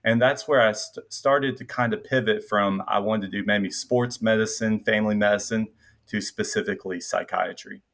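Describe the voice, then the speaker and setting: A man in his twenties with a light Tennessee Southern twang, a guest telling his story in a relaxed, reflective way with frequent fillers. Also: on the low side, moderate pace, creaky. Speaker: guest, incoming psychiatry resident. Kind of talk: casual interview chat.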